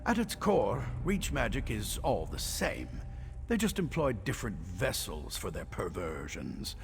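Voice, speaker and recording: A man's voice: lowish voice, sing-song, unhurried, gravelly, a little hiss or hum, exaggerated voice. An actor playing a confident, haughty male magic scholar in a video game, disdainful in manner. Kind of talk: video game character acting.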